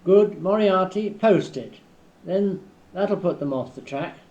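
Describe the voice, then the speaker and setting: A man's voice: high-pitched, animated, slow, darkish tone. Man playing a bossy comic villain on muffled tape. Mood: bossy, scheming. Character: bossy, scheming male villain giving orders. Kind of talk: amateur family radio-comedy script reading. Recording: dull, noisy old 1970s cassette tape.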